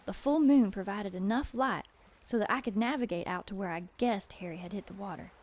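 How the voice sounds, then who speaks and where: An actress playing a plucky, anxious, determined woman, narrating an audio drama in an urgent, expressive way. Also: medium pitch, fairly quick, lightly acted. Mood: tense, determined.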